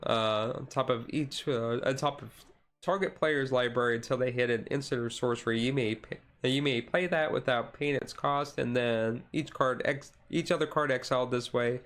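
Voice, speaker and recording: A man streaming casual, chill card game commentary in a halting, rambling way with frequent asides. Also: mid-range voice, steady tone, a bit bright. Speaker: streamer host.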